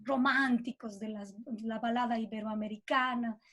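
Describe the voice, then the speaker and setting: A Bolivian-accented woman speaks in a fairly high voice. She talks in an informal, reflective interview style, as a guest explaining her own work. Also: steady tone, normal speed, a bit bright. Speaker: composer being interviewed.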